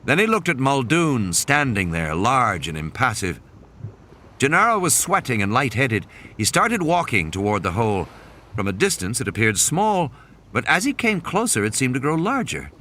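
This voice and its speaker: Male audiobook narrator, crisp bright edge, highly varied pitch, faint ambience underneath. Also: sing-song, normal speed, slight background noise. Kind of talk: dramatic scripted narration. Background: ambient sound effects.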